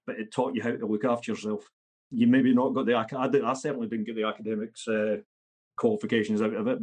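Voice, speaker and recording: A man's voice: medium pitch, a little mellow. A Scottish man in his fifties, a podcast guest talking bluntly and matter-of-factly. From Scotland. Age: 50s. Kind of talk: podcast interview.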